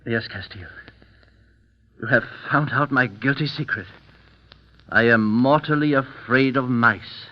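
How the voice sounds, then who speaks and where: An actor playing a proud warrior emperor of Spain with a secret terror, in a theatrical, impassioned old radio drama style. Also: male voice, mid-range voice, a little slow, long pauses, close to an everyday voice. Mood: anguished, ashamed. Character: brave emperor of Spain secretly terrified of mice. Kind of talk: 1930s-40s radio drama acting. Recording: dull, lo-fi old radio broadcast recording.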